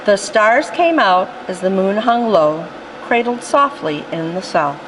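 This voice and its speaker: A woman reading a rhyming picture book aloud in an expressive storytelling style. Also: medium pitch, a little slow, background noise. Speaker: storytime reader.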